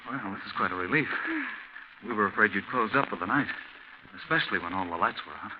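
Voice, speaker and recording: A male actor as a harried, practical motorist in a 1940s radio mystery. He talks in a polite, halting, apologetic way. The old recording is dull and clearly noisy. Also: on the low side, animated, lightly acted. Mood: awkward, polite. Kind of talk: 1940s radio drama acting.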